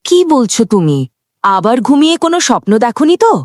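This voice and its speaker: A woman's voice: on the high side, very animated, fast, darkish tone, no echo, clean studio sound. A woman voicing a bossy farmer's wife in a cartoon story, urgent and commanding. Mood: pressing. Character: bossy farmer's wife urging husband to work. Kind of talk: dubbed cartoon dialogue.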